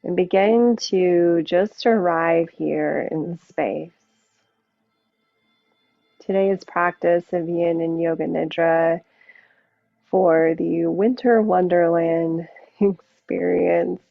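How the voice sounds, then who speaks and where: A woman yoga teacher gently guiding a relaxation practice, unhurried and leaving long pauses between phrases. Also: fairly low pitch, steady tone, vocal fry, no room sound. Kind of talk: guided yoga and relaxation instruction. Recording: muffled narrow phone-like sound.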